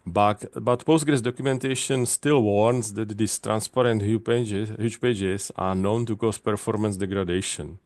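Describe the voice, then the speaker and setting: Low-voiced Czech man presenting. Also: fairly even intonation, unhurried, hardly any pauses, a little mellow, dry recording. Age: middle-aged. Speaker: conference speaker, database specialist. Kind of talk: technical presentation. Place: indoors, online video call.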